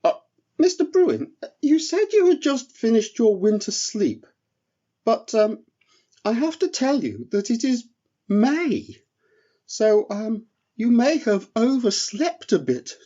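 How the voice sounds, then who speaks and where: A man's voice: extremely high pitch, very animated, takes it slowly, dark tone, lo-fi sound, voice pushed up, heavily acted. A man voicing a small, polite, slightly timid male elf in a children's storybook, with a hesitant manner.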